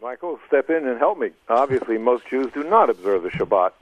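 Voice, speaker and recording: A man's voice: medium pitch, sing-song, normal speed. A man speaking as a doctor guest, arguing combatively and emphatically, chuckling at his opponents. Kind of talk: argumentative debate. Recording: tinny phone line.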